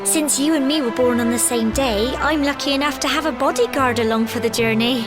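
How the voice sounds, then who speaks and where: A woman's voice: high voice, fairly quick, over music, close to an everyday voice. An actress with a British accent playing a cheerful, chatty young village woman in a video game cutscene. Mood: chatty, earnest. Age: young adult.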